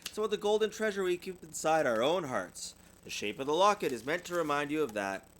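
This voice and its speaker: Man as a patient, expressive mother bear. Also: fairly high pitch, fairly quick, a little hiss or hum, voice pushed up. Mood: patient, teaching. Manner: patient, explaining. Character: patient mother bear, gentle moral teacher.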